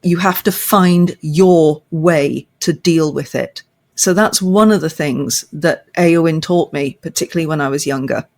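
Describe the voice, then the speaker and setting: An older woman, a podcast guest, discussing literature thoughtfully with measured, emphatic phrasing and deliberate pauses. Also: sits low, a little mellow. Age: older, past fifty.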